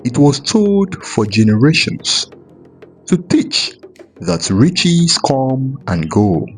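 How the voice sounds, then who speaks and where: Guy narrating a folktale, real slow and dramatic, voice goes up and down a lot, bit of music under it. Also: medium pitch, big pitch swings. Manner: measured, deliberate, dramatic pauses. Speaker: storyteller narrator. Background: background music.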